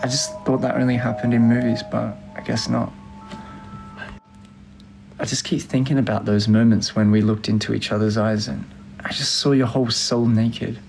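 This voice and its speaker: An actor plays a heartbroken young man narrating his unsent love letters for a film. His voice sits at a medium pitch with a lot of gravelly fry. He speaks plainly and wistfully, as if confiding, with faint music underneath. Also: steady tone, moderate pace, darkish tone, close to an everyday voice, low-quality recording. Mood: tender, nostalgic.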